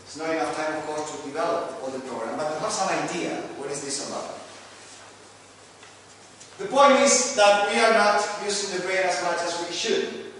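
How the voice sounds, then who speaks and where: Man, Argentine Spanish accent, middle-aged, live lecture, halting restarts, long hall reverb, faint noise. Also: a little high, fairly bright tone. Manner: halting, self-correcting, joking with the audience. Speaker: lecturer and coach. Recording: echoey hall, speaker far from mic.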